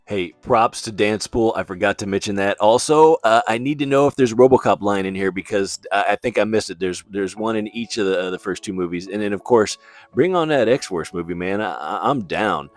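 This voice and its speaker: A man's voice: on the low side, brisk, no room sound. A man hosting a vlog, talking in a casual, chatty way with slangy, laid-back fan enthusiasm. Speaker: YouTube host reviewing movies and toys.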